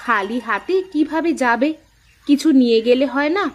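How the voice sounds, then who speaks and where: Woman acting a high-voiced village wife. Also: normal speed, lightly acted. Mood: practical, concerned. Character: caring, practical village wife and mother. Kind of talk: cartoon voice acting. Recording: music behind the voice.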